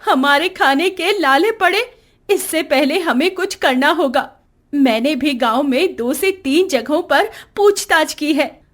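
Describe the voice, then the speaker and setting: A woman's voice: high-pitched, lively intonation, a little slow, fairly bright tone. A woman playing an anxious, devoted village wife in a cartoon moral story, speaking in a worried, earnest and emotional way.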